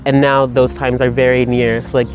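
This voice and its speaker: A man's voice: mid-range voice, flat delivery, normal speed, soft background music. A man speaking in a personal video monologue, rambling and reflective, with many fillers and restarts. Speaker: writer. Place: Berlin.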